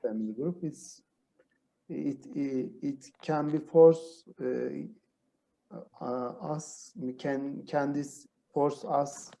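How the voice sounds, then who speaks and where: A man from Turkey with a Turkish accent, a native Turkish speaker, hesitantly asks a question on a video call and often pauses to search for words. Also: a little high, dark tone, rough recording. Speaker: webinar participant asking a question. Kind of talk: hesitant question in a second language.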